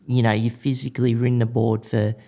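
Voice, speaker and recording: Male podcast host, Australian, mid-pitched with a creaky edge, informal and slangy interviewing. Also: level tone, fairly quick, warm tone. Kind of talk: casual chat, interviewing.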